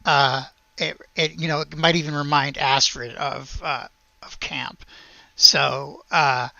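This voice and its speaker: A game master improvising tabletop narration in a casual, halting, conversational way. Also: medium pitch, steady tone, gravelly, sharp tone, dry recording, highs cut off.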